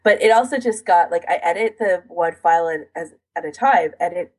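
Chatty woman with a slightly husky voice. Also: lowish voice, fairly quick, darkish tone. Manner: chatty, explains in detail with frequent 'like'. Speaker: podcast co-host. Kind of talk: casual podcast chat.

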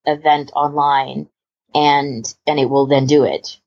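A woman with a lowish, husky voice hosts a podcast conversation. She rambles along informally and with enthusiasm. The audio is somewhat dull and lo-fi. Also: little pitch movement, slow pace. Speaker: podcast host. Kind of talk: casual chat about hobby organisation.

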